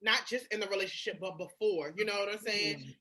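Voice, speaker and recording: Bright, forceful woman telling personal stories. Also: mid-range voice, fairly quick. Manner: expressive, emphatic, frequent swearing. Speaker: podcast guest or co-host. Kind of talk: candid casual personal storytelling.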